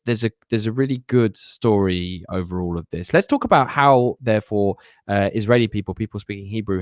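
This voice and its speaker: A male host with a fairly low voice keeps the talk moving, reading viewer comments in a chatty way. His sound is dry and close, with no room echo. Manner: chatty, reads viewer questions. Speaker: interview host. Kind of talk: hosting a live online interview.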